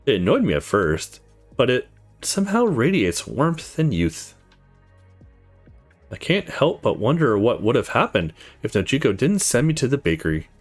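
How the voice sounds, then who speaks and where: A man's voice: medium pitch, sing-song, moderate pace, soft background music. A man reading aloud as a brooding, introspective young visual novel protagonist, reflective and earnest. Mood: reflective, tender.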